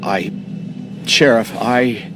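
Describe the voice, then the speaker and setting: A man's voice: medium pitch, drawn-out words. An actor playing a nervous, evasive butcher in a video game, a man who stammers and hesitates apologetically. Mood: evasive, anxious. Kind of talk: cinematic video game acting. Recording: game audio with clear background noise.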